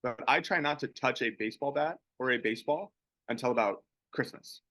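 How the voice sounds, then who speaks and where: A young man who plays pro baseball, co-hosting a podcast and chatting in a relaxed, rambling way. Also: medium pitch, brisk, no echo. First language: English. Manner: relaxed, rambling, many restarts. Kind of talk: casual podcast chat.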